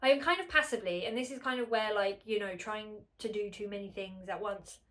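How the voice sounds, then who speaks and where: A woman's voice: mid-range voice, a little fast, fairly bright tone. A woman with a slightly nasal, congested voice, chatting casually to camera at home, rambling, filling her talk with "like" and correcting herself. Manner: rambling, with many filler "like"s and self-corrections. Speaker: vlogger. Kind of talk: casual unscripted chat to camera.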